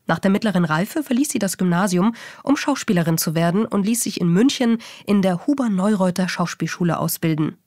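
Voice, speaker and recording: A woman presenting a podcast in polished, measured scripted narration. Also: medium pitch, darkish tone, dry recording, clean studio sound. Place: podcast studio, indoors.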